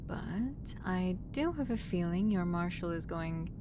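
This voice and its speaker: A woman playing a caring human medic on an alien spaceship in a roleplay audio drama, with a gentle, hesitant, fussing manner. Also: animated, a little slow. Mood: mild scolding. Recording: noisy audio with low rumble.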